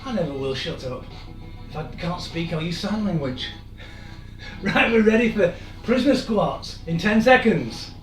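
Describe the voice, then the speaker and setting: A man, hoarse and losing his voice, leading a live-streamed workout with chatty, encouraging asides. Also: sits high, lively intonation, moderate pace, distant mic. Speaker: fitness instructor. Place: room in an old house. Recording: echoey room, off-mic, music behind.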